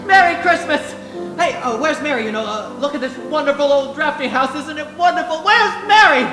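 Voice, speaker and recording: A man's voice: extremely high pitch, lively intonation, fairly quick. A man playing an overwrought, giddy family man in a live radio play, exclamatory and stammering, on a stage. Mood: joyful excitement. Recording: echoey stage, faint music behind.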